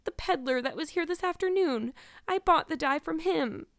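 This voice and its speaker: A woman's voice: high-pitched, expressive, moderate pace, no room sound, studio quality, voice pushed up. A woman voicing a despairing, wordy young girl in a storybook, pleading and earnest in a melodramatic way. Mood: earnest, confiding.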